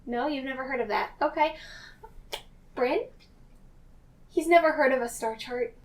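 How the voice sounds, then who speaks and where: A woman playing a tabletop game, speaking casually in character in a halting, repetitive way. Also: sits high. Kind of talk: casual in-character improvised play. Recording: slightly distant mic, faint noise.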